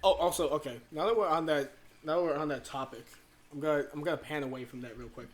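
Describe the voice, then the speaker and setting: A man co-hosting a casual podcast, laughing often and telling crude, lively stories. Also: on the high side, quick pace. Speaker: podcast co-host. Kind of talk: casual banter.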